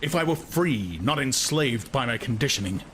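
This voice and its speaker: A man's voice: mid-range voice, expressive, normal speed, fairly bright tone, a little hiss or hum, voice pushed up, close to an everyday voice. A man voicing a brooding, discontented young man who speaks hesitantly and earnestly. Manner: hesitant, searching, derisive at times.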